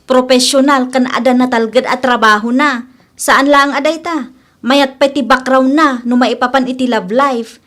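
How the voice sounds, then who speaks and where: A woman's voice: sits high, steady tone, normal speed, a bit bright. A woman playing a gossipy, supportive young best friend in an Ilocano comedy drama, chatty and teasing. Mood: teasing, encouraging.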